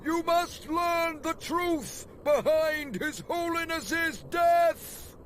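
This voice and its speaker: Game role: devout elderly man, pleading and theatrical, high-set voice, slow delivery. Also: a bit bright, slight background noise, exaggerated voice. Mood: grave, urgent. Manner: theatrical, formal, drawn-out delivery. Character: pleading elderly male prisoner, devout and self-sacrificing. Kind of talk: dramatic video game cutscene acting.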